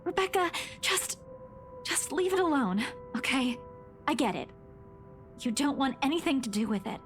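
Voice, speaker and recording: A woman's voice: high-pitched, big pitch swings, moderate pace, soft background music. An actress playing a troubled, defensive young woman with a subdued manner in a visual novel game. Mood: defensive, resigned.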